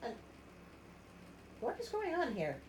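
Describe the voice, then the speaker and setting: Animated high-voiced woman storyteller. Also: takes it slowly. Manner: theatrical, wry, expressive. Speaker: storyteller, show host. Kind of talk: comedic storybook reading with asides. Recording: roomy echo, faint background noise.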